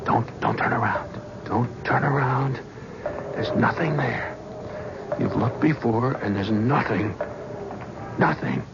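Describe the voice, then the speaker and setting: An actor playing a guilt-ridden, middle-aged talent scout in a 1970s radio drama, stammering in panic. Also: male voice, medium pitch, takes it slowly, vocal fry, long pauses, heavy background noise. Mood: fearful. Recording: dull old radio broadcast.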